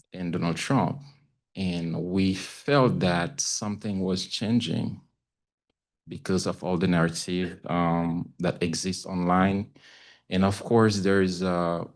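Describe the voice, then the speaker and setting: A man with a Haitian accent speaking as a panelist about his own experience, haltingly and searching for words. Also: low voice. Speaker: panelist, community news correspondent. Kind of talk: panel talk, personal account.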